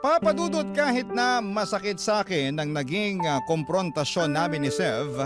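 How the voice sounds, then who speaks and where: A male radio host with a Filipino accent and a fairly high voice reads a letter as dramatic narration. His delivery is emotive, phrase by phrase, with music clearly behind him. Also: animated, moderate pace. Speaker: radio show host reading a listener's letter.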